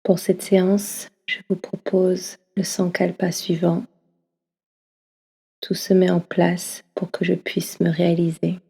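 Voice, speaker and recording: A woman leading a guided yoga nidra session, speaking slowly and softly, often slipping into a half-whisper. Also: fairly low pitch, monotone, gravelly, breathy edge. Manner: slow, soft, often half-whispered delivery. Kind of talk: guided meditation. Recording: long reverb as in a hall.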